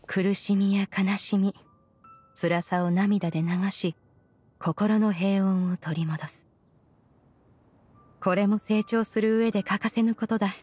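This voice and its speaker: An actress playing a caring, authoritative immortal woman in a game, calm and husky, with lofty archaic speech. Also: mid-range voice. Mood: soothing, solemn. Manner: calm, measured, old-fashioned lofty speech. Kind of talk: Japanese game voice acting. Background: faint music.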